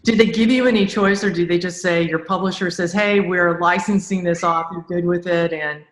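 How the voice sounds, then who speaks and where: A woman's voice: fairly low pitch, steady tone, moderate pace. A woman hosting a casual podcast interview, talking informally and rephrasing loosely as she goes. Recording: roomy, echoing sound.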